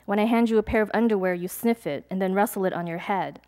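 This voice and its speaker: A young adult woman, a poet, reading her poems aloud at a live event, measured and deliberate, with chatty, hesitant asides between pieces. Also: medium pitch, dark tone. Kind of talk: poetry reading with casual asides to an audience.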